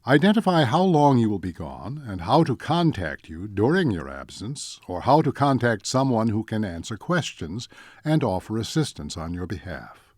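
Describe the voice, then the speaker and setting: Lively, measured male training narrator, dry sound. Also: lowish voice, no echo. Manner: measured, deliberate, enumerating points. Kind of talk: scripted instructional narration.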